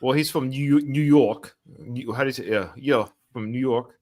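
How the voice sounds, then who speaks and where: A man's voice: mid-range voice, moderate pace, a little mellow. A tired man with a Canadian accent hosting a podcast, rambling, losing his thread and laughing at himself. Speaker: podcast host. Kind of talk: casual podcast chat.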